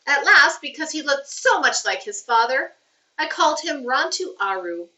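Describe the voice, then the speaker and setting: Female storybook reader, teacherly, higher-pitched, recorded slightly away from the mic. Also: sing-song, moderate pace, pushed and bright, far from the mic. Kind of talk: read-aloud narration of a children's novel with informal commentary. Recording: slightly dull sound, off the microphone.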